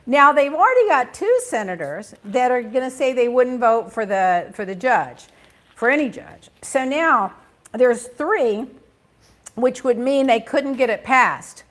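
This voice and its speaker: A chatty middle-aged woman hosts a talk show in a high, bright-pitched voice. She moves fast from gossip to news and drops blunt opinions and playful asides along the way. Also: lively intonation. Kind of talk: casual gossip and news chat.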